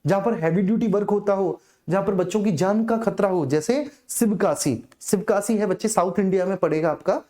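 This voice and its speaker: A woman teaches an exam-prep class in a dark, relaxed voice at a middling pitch. She lectures informally, restates key phrases for emphasis and keeps checking that students follow. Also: brisk.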